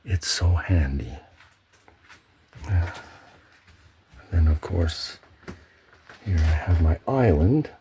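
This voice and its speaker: A man giving a soft-spoken ASMR home tour in a hushed, halting way, often slipping into a whisper. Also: deep voice, expressive, slight background noise. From Canada. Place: indoors at home, in a pantry.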